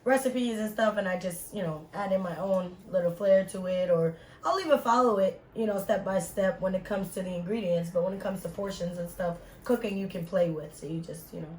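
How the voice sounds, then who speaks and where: A woman of twenty chatting casually with her sister, easygoing and quick to laugh along. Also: medium pitch, darkish tone, reverberant, slight background noise, poor audio. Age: 20s. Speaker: younger sister. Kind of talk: casual chat.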